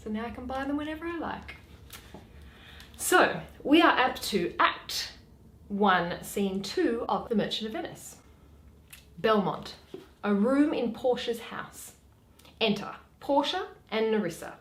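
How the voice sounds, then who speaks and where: A woman host speaks in a high, lively voice. She chats casually and introduces a scene for reading, in an echoey room. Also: measured pace, pauses often. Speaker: host and reader.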